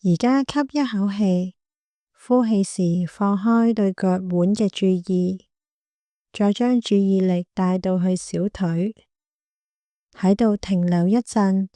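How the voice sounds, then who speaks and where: Woman, Hong Kong Cantonese accent, meditation guide, soft mellow tone, very slow pace, close dry sound. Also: mid-range voice, no room sound. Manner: gentle, calm, unhurried instruction. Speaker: meditation guide, clinical psychologist. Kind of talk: guided body scan meditation.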